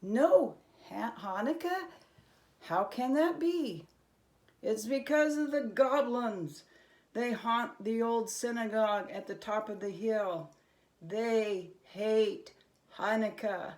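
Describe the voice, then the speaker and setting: A woman's voice: fairly high pitch, slow, darkish tone. A woman voicing a sad, fearful villager in a children's storybook, plaintive and emphatic in manner. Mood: miserable, aggrieved. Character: sad, fearful villager, weary of tormenting goblins. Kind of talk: acted children's storybook reading.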